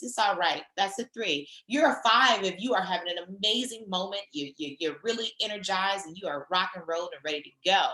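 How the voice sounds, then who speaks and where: Woman trainer, slightly hoarse voice, bright and forceful tone, encouraging conversational delivery, echoey video call. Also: lowish voice. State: slightly hoarse, sore throat. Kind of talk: virtual training session.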